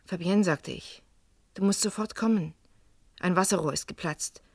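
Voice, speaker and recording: An actress playing a defiant, evasive young student in a vintage radio crime drama, curt and defensive under questioning. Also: female voice, lowish voice, moderate pace, slightly rough, dry recording, studio quality. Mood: grave, confessing.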